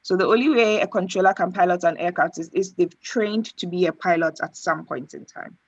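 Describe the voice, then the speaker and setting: A woman explaining things off the cuff in a panel discussion, pausing to find her phrasing. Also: fairly low pitch, lo-fi sound, a little hiss or hum. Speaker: air traffic controller answering questions.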